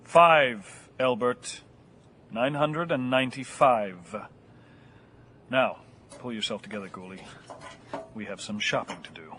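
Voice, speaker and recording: A man's voice: medium pitch, slow, long pauses, sharp tone, slight background noise. An actor playing a deranged fugitive gunman in an audio horror drama, menacing yet mock-polite and florid in his speech. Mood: rambling, sardonic.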